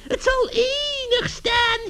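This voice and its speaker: Wicked old forest witch for children's radio play, performed by a man: piercingly high, unhurried, gleefully taunting, cackling. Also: steady tone, bright, forceful tone, full character voice. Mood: taunting glee. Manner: cackling, theatrical, mock-sweet taunting.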